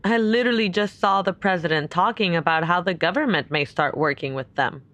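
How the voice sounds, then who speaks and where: A woman playing a worried, caring and suspicious character in an audio drama, speaking naturally and earnestly with gentle insistence. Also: fairly low pitch, a little fast. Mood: uneasy, curious.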